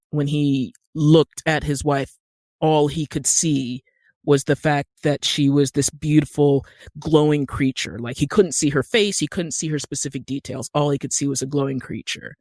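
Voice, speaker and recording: A woman's voice: sits low, a little mellow, dry recording, clean studio sound. A middle-aged American woman telling stories conversationally and emphatically as an interview guest. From Utah, United States. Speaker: podcast guest, screenwriter and actor.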